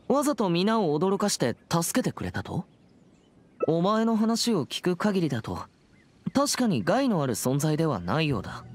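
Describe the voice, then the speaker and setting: A woman's voice: lowish voice, darkish tone, breathy edge. A woman voicing an earnest, stern teenage boy exorcist in an anime game, serious and formal. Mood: thoughtful.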